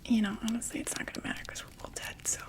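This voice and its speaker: A woman's voice: rapid-fire, lightly acted. A woman whispering as a chatty vampire saleswoman. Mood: soothing. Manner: soft whispered sales patter with fillers. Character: chatty undead female vampire makeup saleswoman. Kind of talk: ASMR roleplay.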